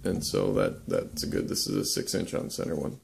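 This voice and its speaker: A young man casually demonstrating how to lash boat joints, talking in a relaxed, halting way with many ums and uhs. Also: low-pitched, gravelly. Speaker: woodworking instructor. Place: indoor workshop.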